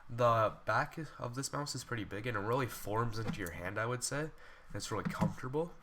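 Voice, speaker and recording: A man's voice: medium pitch, fairly quick. A young man with a cold, sounding congested, casually reviewing computer mice and rambling with fillers and restarts. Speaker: tech reviewer. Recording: faint background noise, poor quality capture.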